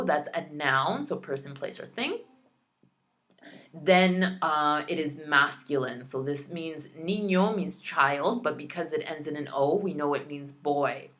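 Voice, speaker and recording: A woman's voice: deep voice, a bit bright. A young adult woman, a Spanish heritage speaker, teaching vocabulary in a recorded lesson with careful pronunciation and playful asides.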